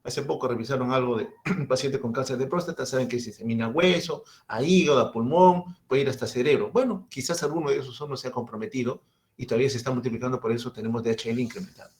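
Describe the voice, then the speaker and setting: A man's voice: mid-range voice, steady tone, quick pace, a little mellow. A man in his fifties with a Peruvian Spanish accent, giving a medical lecture and asking and answering his own questions. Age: 50s.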